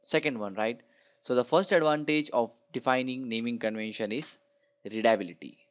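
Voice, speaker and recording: A man's voice: medium pitch, moderate pace, clear, resonant tone. Man teaching, dull lo-fi sound. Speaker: programming instructor. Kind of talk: slideshow tutorial narration. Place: voice-over for a screen recording. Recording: dull lo-fi voice recording.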